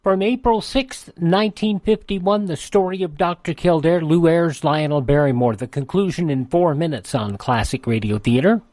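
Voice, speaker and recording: A man's voice: fairly high pitch, normal speed, hardly any pauses, dark tone, slight background noise. A man hosting a radio show in a folksy, conversational announcing and ad-reading style. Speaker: radio host. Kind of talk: conversational ad read.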